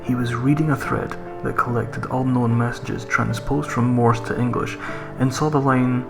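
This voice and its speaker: A man narrates a scripted horror tale in a mid-pitched voice with a noticeable creak. Music plays clearly behind the narration. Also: steady tone, normal speed. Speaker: creepypasta narrator.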